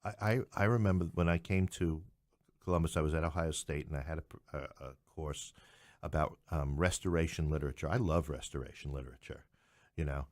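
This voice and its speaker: A middle-aged man with a deep voice in a dry, close recording. He speaks conversationally as an interview guest, spinning anecdotes with animation and often restarting his phrases. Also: brisk, darkish tone, no room sound. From New York City. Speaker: podcast guest, English professor.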